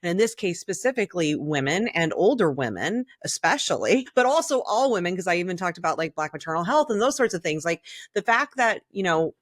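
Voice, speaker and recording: A woman's voice: fairly low pitch, lively intonation, fairly quick, hardly any pauses, no echo, clean studio sound. A middle-aged woman co-hosting a podcast, talking candidly and casually in an opinionated, blunt way and swearing freely. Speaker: podcast co-host.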